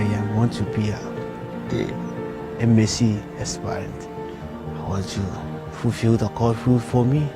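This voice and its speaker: Casting: young man, religious aspirant, mid-range voice, hesitant non-fluent testimonial, music bed. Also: medium pitch. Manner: halting, non-fluent English. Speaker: seminary aspirant. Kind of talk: personal testimony.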